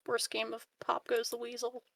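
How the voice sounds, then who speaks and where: A woman chatting casually as a player in a tabletop roleplaying game, with some creak in her voice. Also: a little high, completely flat delivery, moderate pace, a bit bright, no room sound.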